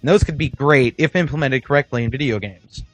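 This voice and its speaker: A man co-hosting a casual gaming podcast, excitable and jokey, rambling through his stories. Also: mid-range voice, a little fast, no room sound, soft background music. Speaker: podcast co-host. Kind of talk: casual chat.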